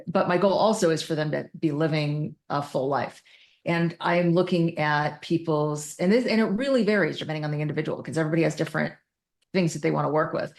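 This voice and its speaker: A woman's voice: low-pitched, a little mellow. A woman speaking as an interview guest, explaining her work as a clinician in an informal, emphatic, think-aloud way. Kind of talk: conversational interview answers explaining clinical practice.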